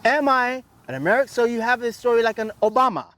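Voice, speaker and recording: A man's voice: extremely high pitch, lively intonation, fast, a bit bright. A man being interviewed, giving advice in an emphatic way and building lists and rhetorical questions. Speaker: interview guest. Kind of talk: reflective advice-giving conversation.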